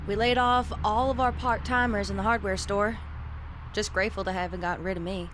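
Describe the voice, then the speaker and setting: Woman acting as middle-aged small-town mother, guarded, steady, protective, naturalistic radio play, faint rumbling noise. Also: brisk, natural voice. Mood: plainspoken.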